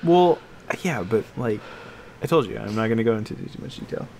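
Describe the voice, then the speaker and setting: A man co-hosting a casual podcast chat about games and films, talking in a halting, meandering way that trails off. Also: fairly low pitch, lively intonation, dark tone, a little hiss or hum. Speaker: podcast co-host.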